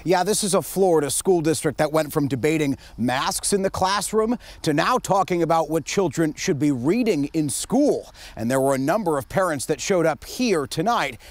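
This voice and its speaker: A man's voice: fairly high pitch, animated, normal speed, hardly any pauses, dry recording. An American man narrating a scripted TV news report as a reporter.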